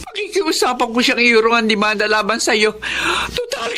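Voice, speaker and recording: Anguished, protective mother woman performer, high, piercing, swooping melodrama delivery. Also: big pitch swings, moderate pace, pushed and bright, slight background noise. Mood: anguished pleading. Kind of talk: Filipino radio melodrama acting.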